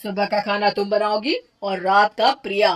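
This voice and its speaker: A woman's voice: mid-range voice, level tone, moderate pace, lightly acted. A woman playing a stern cartoon mother-in-law who scolds and speaks sarcastically.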